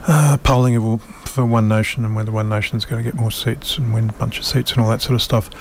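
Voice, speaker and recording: Wry, gravelly radio host. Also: male voice, medium pitch, steady tone, fast talker, a little hiss or hum. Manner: rambling, wry, with frequent fillers. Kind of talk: casual radio interview. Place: community radio studio.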